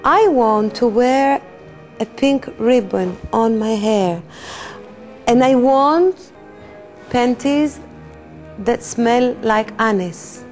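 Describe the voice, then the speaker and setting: A woman's voice: fairly high pitch, very slow, long pauses, darkish tone, soft background music. An actress playing a whimsical woman who dreams of glamour, listing her wishes in a dreamy, wistful way.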